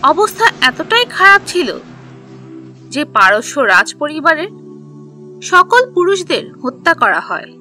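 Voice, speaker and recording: A woman narrating a scripted children's history story in a simple, clearly phrased storybook manner. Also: high voice, sing-song, slow pace, pauses often, pushed and bright, soft background music.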